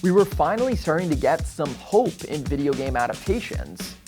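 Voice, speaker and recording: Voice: mid-range voice, sing-song, normal speed, over music. A video essay host reading scripted narration in an explanatory way.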